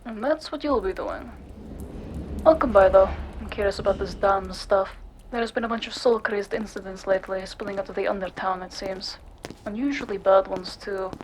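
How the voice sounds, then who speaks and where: A woman playing a curious, cool-headed cyberpunk investigator in a noir audio drama, measured and matter-of-fact. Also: mid-range voice, darkish tone, slight background noise, lightly acted, rough recording.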